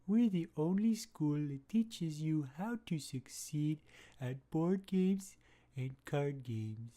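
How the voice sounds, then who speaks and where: Woman as a low-voiced cheesy board-game-school pitchman for an audio comedy ad, unhurried. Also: warm tone. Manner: slow, cheesy sales pitch. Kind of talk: mock radio advert.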